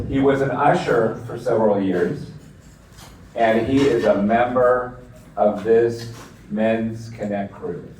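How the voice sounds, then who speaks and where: Brief: a loud announcer-type man at a church breakfast, mid-pitched, distant mic, faint room noise. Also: heavy reverb. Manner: loud, big-voiced announcer. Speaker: emcee of a church men's group. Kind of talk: live event introduction. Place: large echoey meeting room. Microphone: handheld mic heard from a distance.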